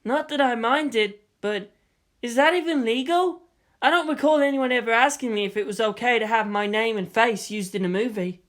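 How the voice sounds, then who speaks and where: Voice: medium pitch, moderate pace, a bit bright, voice pushed up, close to an everyday voice. A narrator voicing a bashful, self-conscious young Japanese man with a hesitant, questioning manner. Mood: puzzled.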